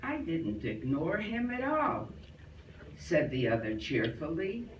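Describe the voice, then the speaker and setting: A woman's voice: deep voice, huge pitch swings, slow pace, muffled recording. A woman reading a humorous tale aloud at a live reader's theater, recorded from a distance in a roomy hall. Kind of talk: joke storytelling, reading aloud. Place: clubhouse hall, live performance. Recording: roomy hall, distant mic, faint noise.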